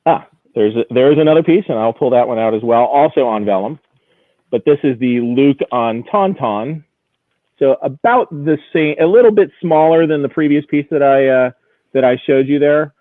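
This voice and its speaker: A man's voice: medium pitch, moderate pace, dry recording. Male auction presenter on a phone-like line. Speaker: auction house executive presenting lots. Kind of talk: informal live auction preview commentary.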